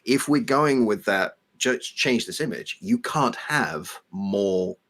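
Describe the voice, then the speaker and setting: A man with a low, husky voice and a very animated melody co-hosts a live stream. He is a native French speaker from Belgium, chatting casually and wandering as he explains. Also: slow pace. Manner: digressive, explanatory. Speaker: livestream co-host, game asset developer.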